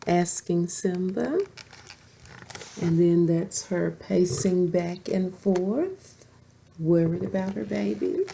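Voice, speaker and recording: A woman reading a children's story aloud in an expressive storytelling style, explaining as she goes. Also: fairly low pitch, vocal fry, slight background noise. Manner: pauses to explain pictures to listeners.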